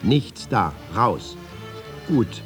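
Low-pitched male storyteller over music. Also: very animated, extremely slow. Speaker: reader of a historical anecdote. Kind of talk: scripted storytelling with quoted dialogue. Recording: clear music bed behind voice.

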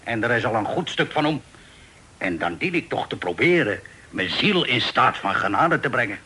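A captive sea captain in a radio play, with a husky, bright voice. He is pious and stubborn, speaking in a folksy, wry storyteller's manner. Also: medium pitch, sing-song, normal speed, exaggerated voice. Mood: gentle, resigned. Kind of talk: Dutch radio drama acting.

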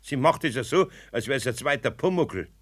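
An actor playing a gruff, good-hearted Bavarian master craftsman in a children's radio play, husky and exasperated. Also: medium pitch, animated, moderate pace, close to an everyday voice. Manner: grumbling, exasperated, matter-of-fact. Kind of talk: 1970s German children's radio play acting.

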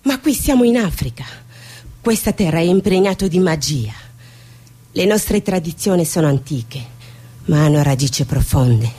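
Somali woman seer for a stage drama, played by an actress: solemn, bitter, very deep and husky, with swooping intonation. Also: animated, moderate pace, dark tone. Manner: solemn, fatalistic.

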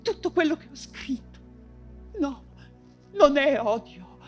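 An actress playing an anguished, ailing woman writer imprisoned in a camp, in a halting, fevered theatrical monologue. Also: high voice, animated, faint music behind. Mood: pained, defensive. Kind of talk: dramatic stage monologue in Italian theatre.

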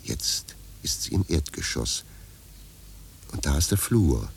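Male radio drama narrator: very deep, creaky, wry storytelling with lively intonation. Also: slow, a little hiss or hum. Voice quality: gravelly.

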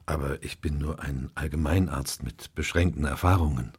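Deep, husky Victorian doctor. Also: male voice, a little mellow, heavily acted. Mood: concerned. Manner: measured, polite, questioning. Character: calm, courteous Victorian doctor turned investigator. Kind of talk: German radio crime drama acting.

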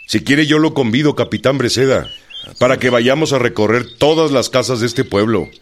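A man's voice: medium pitch, moderate pace, lightly acted. A man acting in a radio drama as a blunt, defiant, folksy Mexican revolutionary general, plain-spoken and given to grunts. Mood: amused, defensive.

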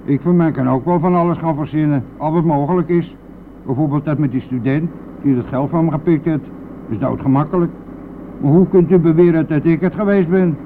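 An actor playing a brazen, evasive bus driver in a radio play, blustering and dismissive, then wheedling, with a gravelly voice. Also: male voice, on the high side, a little fast, natural voice. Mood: defensive. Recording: noisy phone-like old radio play recording.

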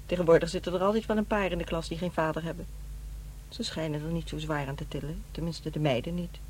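A woman's voice: low voice, fairly even intonation, fast talker, a little mellow, lightly acted. An actress playing a matter-of-fact, defensive middle-aged divorced mother in a radio play, with a dry, blunt delivery. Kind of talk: Dutch radio drama acting. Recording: noisy, humming radio play recording.

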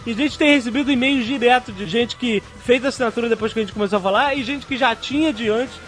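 A Brazilian man hosting a podcast, chatting excitedly and casually with his co-hosts. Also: extremely high pitch, fast talker, faint music behind. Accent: Brazilian Portuguese. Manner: excited, chatty, keeps saying "cara". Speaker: podcast host. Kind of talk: casual podcast chat and sponsor read.

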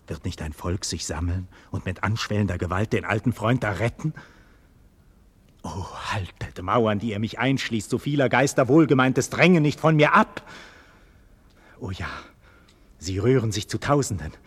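A proud captive nobleman in an old radio drama. His low, husky voice declaims solemnly, musing on death and freedom with deep feeling. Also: lively intonation, moderate pace. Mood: anguished, questioning. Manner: solemn, declamatory, swelling from murmur to ardour. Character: noble imprisoned hero brooding on death and freedom. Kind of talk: 1940s German radio play acting, classical monologue.

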